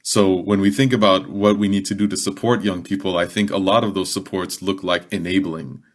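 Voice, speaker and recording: A man's voice: low-pitched, fairly even intonation, brisk. A man speaking reflectively as a guest in a podcast panel discussion. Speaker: podcast guest, youth education worker.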